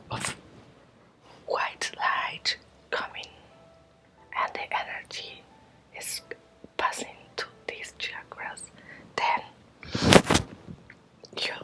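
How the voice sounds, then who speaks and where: Woman, breathy whisper, native Spanish speaker, very slow halting phrases, ASMR guided lesson. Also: pushed and bright, poor audio. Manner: slow, halting, short phrases with long pauses. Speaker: Reiki teacher.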